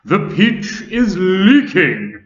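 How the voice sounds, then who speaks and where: A man voicing a fretful old male grasshopper, loud and wailing. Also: fairly high pitch, sing-song, measured pace, pitched above the performer's own voice. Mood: alarmed. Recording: roomy, dull lo-fi sound.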